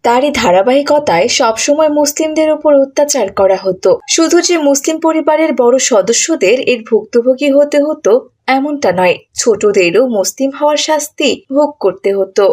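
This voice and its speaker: A woman's voice: sits high, expressive, normal speed, a little mellow. A woman narrating a scripted cartoon story as its storyteller.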